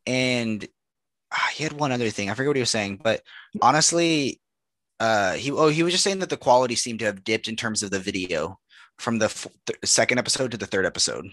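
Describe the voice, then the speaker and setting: A man's voice: medium pitch, expressive, fairly quick, fairly bright tone, dry recording. A young man co-hosting a podcast, telling rambling jokey stories in casual chat with lots of fillers. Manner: rambling storyteller, joking, with frequent fillers. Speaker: podcast co-host.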